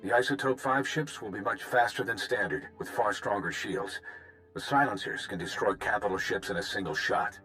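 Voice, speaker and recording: An actor playing a confident fleet admiral in a video game, giving a clipped, formal briefing. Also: male voice, fairly low pitch, normal speed, sharp tone, faint music behind, poor audio.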